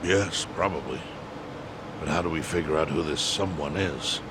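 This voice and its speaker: A man voicing a measured, pragmatic older yakuza chairman with a dry, deliberate manner in a game dub. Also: a bass voice, background noise. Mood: measured doubt. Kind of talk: video game English dub acting.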